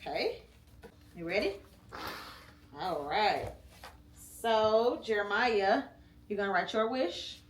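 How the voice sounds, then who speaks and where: A woman reading a picture book aloud at home with her child, gentle and encouraging, stopping to prompt him. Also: medium pitch, expressive, slow, pauses often, roomy sound, a little hiss or hum. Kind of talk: read-aloud storytime and casual chat.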